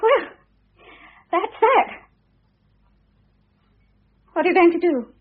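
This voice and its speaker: Shrill, plaintive cornered young wife, old radio. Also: female voice, sing-song, slow pace, full character voice. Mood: cornered, despairing. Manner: plaintive, melodramatic. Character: younger second wife, cornered, tearful, defensive adulteress. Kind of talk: old radio drama acting. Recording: muffled, thin old radio recording.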